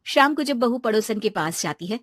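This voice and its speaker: A woman with a high voice narrates a scripted cartoon story. The sound is dry, with no room around her. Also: expressive, normal speed, a bit bright, dry recording.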